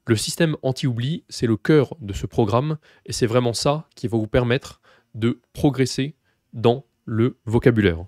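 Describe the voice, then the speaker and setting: A French man who teaches Russian, explaining his course in a relaxed way with many fillers and restarts. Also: medium pitch, unhurried, no room sound, studio quality. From France. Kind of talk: course presentation to camera.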